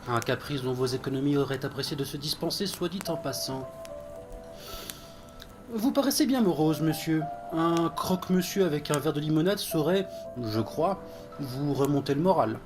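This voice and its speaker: Man acting a prim, higher-voiced fussy butler. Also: animated, moderate pace, music in the background, close to an everyday voice. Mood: concerned, prim. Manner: formal, wordy, deferential, prim. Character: fussy, formal, devoted male butler, anxious and prim. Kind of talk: audio drama acting.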